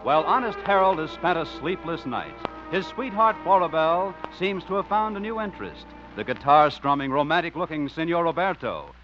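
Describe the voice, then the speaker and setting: A man making announcements and narrating a 1950s radio comedy in a crisp, declamatory way. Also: a little high, sing-song, normal speed, few pauses, music in the background. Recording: muffled old radio broadcast.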